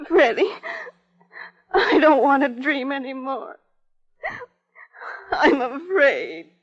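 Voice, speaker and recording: A fragile, fearful young woman with a very high, childlike voice. She pleads in 1950s radio drama style. The sound is dull, like an old broadcast. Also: very animated, slow pace, full character voice. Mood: shaken, frightened.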